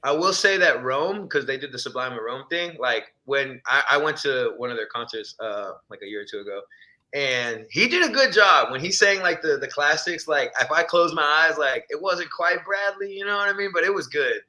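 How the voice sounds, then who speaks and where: A young man talks casually about music, his tone bright and slightly forceful. He keeps it laid-back, drops plenty of slang and laughs often. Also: fairly high pitch, lively intonation, normal speed, low-quality recording. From Texas, born in Ecuador. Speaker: musician guest. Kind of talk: casual chat.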